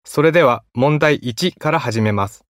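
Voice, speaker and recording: A man reads exam instructions at a quick clip, his delivery formal, clear and even. The sound is dry and close, with no room around it. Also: mid-range voice, no echo. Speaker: exam announcer. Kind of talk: scripted test instructions.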